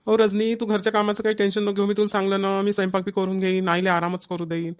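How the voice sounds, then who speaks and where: Cartoon casting: man playing devoted young Varhadi-accented husband, earnest, reassuring, quick delivery on a flat, even pitch. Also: clean tone, natural voice. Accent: Varhadi Marathi, Vidarbha. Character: devoted, clingy young newlywed husband, eager to help. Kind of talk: cartoon family comedy dialogue.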